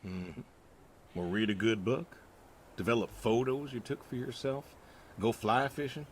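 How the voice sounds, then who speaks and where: An actor playing a quiet, stubborn middle-aged farmer in a game, talking in a folksy, halting, understated way. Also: male voice, medium pitch, fairly even intonation, a little hiss or hum, lightly acted. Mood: dry, relaxed. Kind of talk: naturalistic dramatic game dialogue.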